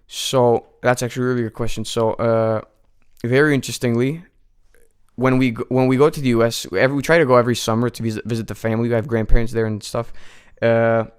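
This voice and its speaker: A man's voice: mid-range voice, flat delivery, fast, clean tone. A nineteen-year-old man from the United States, a guest chatting casually in a radio studio, with lots of fillers and restarts. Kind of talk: casual interview chat.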